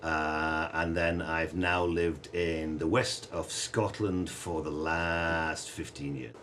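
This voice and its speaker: A man with a deep voice speaks in a measured way. The background is faint. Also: steady tone, a bit bright, slight background noise. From West Yorkshire, England.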